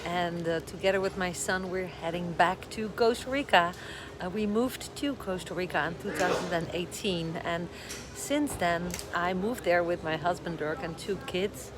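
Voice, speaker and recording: A middle-aged Dutch woman talks into her phone in a casual, slightly scattered way, a little short on sleep. Her English carries a clear Dutch accent. Busy airport noise runs steadily behind her voice. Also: on the low side, normal speed. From Netherlands. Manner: chatty, a little scattered, greets viewers. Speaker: vlogger giving advice. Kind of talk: casual live stream chat. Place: airport departure area. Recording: noisy airport live stream. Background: airport ambience.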